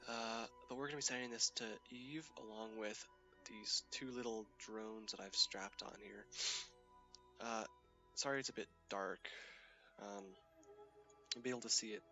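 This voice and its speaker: A man's voice: lowish voice, fairly even intonation, normal speed, pauses often, pushed and bright, muffled recording, soft background music. A young man with a cold, sounding sniffly and stuffy, casually talking through a space game with lots of hesitant fillers. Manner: rambling, hesitant, many fillers. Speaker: gaming channel host. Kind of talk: casual gameplay commentary.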